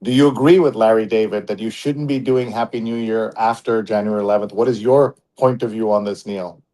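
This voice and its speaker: A man's voice: lowish voice, steady tone, a little fast, mellow, low-quality recording. A man hosting a live talk show in a conversational way, addressing his co-host and audience.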